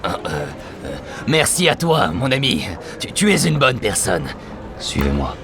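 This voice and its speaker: A man's voice: mid-range voice, wildly swooping pitch, a little slow. An actor playing a wheedling, shady male beggar who hesitates and stammers in an audio drama. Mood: flustered. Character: wheedling male beggar, secretly a smugglers' lookout. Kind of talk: French audio drama acting. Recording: noisy, distant-sounding voice.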